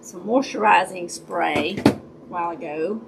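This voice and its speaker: A woman with a raspy voice, chatting casually with her viewers during a crafting livestream and greeting them by name. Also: lowish voice, unhurried, heavy background noise. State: raspy voice, dry throat. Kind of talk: casual chat while crafting.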